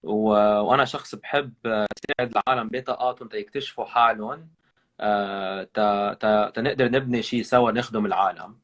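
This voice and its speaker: A man from Lebanon with a Lebanese accent, a guest talking over a video call, mixing English and Arabic with many pauses. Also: fairly low pitch, fairly even intonation, fairly bright tone. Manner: switches between English and Arabic mid-sentence; talks with many hesitations and restarts. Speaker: podcast guest. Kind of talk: casual interview over a call.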